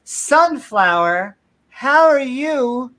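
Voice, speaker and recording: A woman co-hosting a livestream, chatting casually and throwing out quick joking one-liners. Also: high-pitched, expressive, a bit bright, poor audio. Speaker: co-host.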